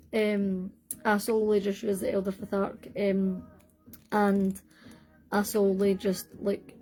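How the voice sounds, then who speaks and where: A woman's voice: mid-range voice, dark tone, rough recording. A young woman with a Scottish accent, hosting a casual livestream from home, chatting in a rambling way with lots of fillers and self-corrections while answering viewers' comments. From Scotland. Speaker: livestream host. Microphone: phone.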